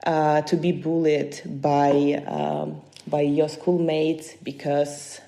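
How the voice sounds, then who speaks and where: A woman's voice: low-pitched, flat delivery, slow pace, vocal fry, warm tone. A woman with a Ukrainian accent speaking English as a second language, answering interview questions hesitantly, with many fillers and restarts. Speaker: guest, human rights defender. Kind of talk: podcast interview. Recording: echoey room.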